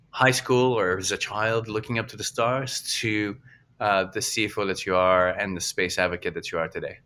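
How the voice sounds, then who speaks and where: A man's voice: on the low side, sharp tone. A man hosting a casual podcast interview, asking long, rambling questions with restarts. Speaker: podcast host.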